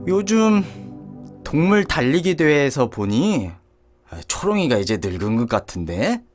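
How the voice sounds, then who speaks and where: A man's voice: on the high side, sing-song, unhurried, faint music behind. A man voicing an opinionated village spectator in a fable, folksy and knowing. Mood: wistful gossip.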